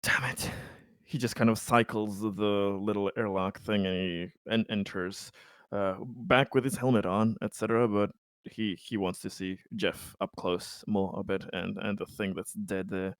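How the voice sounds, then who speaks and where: A man playing a tabletop roleplaying game, halting and stammering as he moves between narration and improvised in-character lines. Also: fairly low pitch, steady tone, no echo. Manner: halting, stammering, often sighing.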